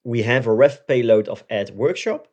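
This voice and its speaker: A man in his forties presenting a software tutorial step by step, often checking in as he explains. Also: medium pitch, expressive, normal speed, muffled recording. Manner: explanatory, step by step, with frequent "right?" check-ins.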